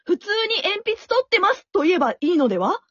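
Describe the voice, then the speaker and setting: A polite, upbeat show assistant with a high, bright voice that jumps around, played by a woman. The recording is dry and dull, like a lo-fi home-made radio drama. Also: brisk, no room sound, full character voice. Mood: doubtful. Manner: polite, lively reactions. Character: polite female show assistant, straight man.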